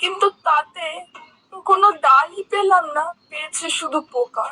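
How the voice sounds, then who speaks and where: An elderly village auntie from a cartoon, acted by a woman, full of grievance. Her voice is high-pitched and cutting. She complains insistently, as someone sure of being right. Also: normal speed, bright, forceful tone. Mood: complaining, pleading. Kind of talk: cartoon character acting.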